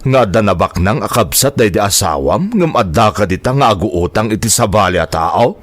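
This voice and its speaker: A man's voice: lowish voice, animated, normal speed, no room sound. A man with an Ilocano accent playing a scornful, well-off uncle in a radio drama, belittling and grumbling as he talks. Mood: scornful, scolding. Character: scornful, well-off uncle grudgingly lending money to relatives.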